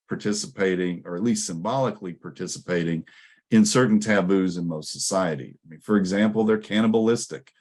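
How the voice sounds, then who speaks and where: Low-voiced man giving an academic presentation. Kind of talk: conference presentation, explanatory lecture.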